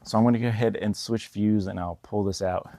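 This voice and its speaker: Male reviewer, lowish creaky voice, informal unboxing delivery, talks through things hands-on. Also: steady tone, a little fast, warm tone. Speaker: product reviewer.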